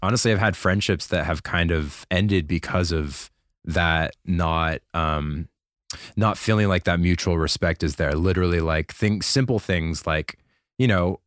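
A man in his thirties speaks as a podcast guest, candid and reflective, often poking fun at himself. His voice is very low for a man. The recording is dry and close. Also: unhurried, dry recording. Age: 30s. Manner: reflective, candid, self-deprecating. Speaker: podcast guest, keyboard player. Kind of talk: casual chat.

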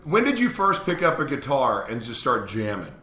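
Upbeat bright-voiced male host. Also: mid-range voice, sing-song, normal speed, reverberant. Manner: upbeat, laughing easily. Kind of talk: casual live show chat.

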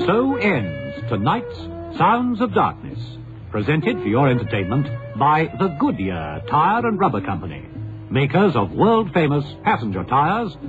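A man announcing on old-time radio in a scripted, dramatic style. His pitch sweeps widely with drawn-out emphasis. The sound is thin and phone-like, with clear music behind him. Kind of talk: 1970s radio announcing, scripted sign-off and sponsor read. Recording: thin vintage radio recording under music.

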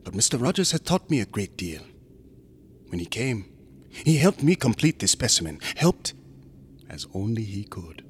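An actor playing a smug, unctuous museum assistant in a horror radio drama, courteous and insinuating, sometimes dropping into whispers. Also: male voice, lowish voice, sing-song, normal speed, pauses often, slight background noise. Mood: smug, secretive. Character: sinister, smug, unctuous museum assistant guarding macabre exhibits.

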